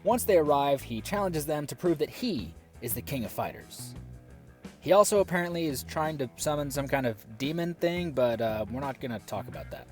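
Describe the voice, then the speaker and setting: A man's voice: a little high, animated, moderate pace, faint music behind. A man giving a scripted review commentary with sarcastic, exasperated asides. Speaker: film reviewer.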